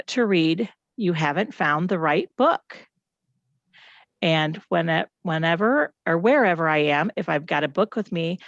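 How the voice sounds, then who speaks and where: A woman's voice: lowish voice, gravelly, dry recording. A middle-aged woman, presenting a reading lesson to children and families and asking them questions. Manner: encouraging, asks the audience questions. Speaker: teacher presenting at family night.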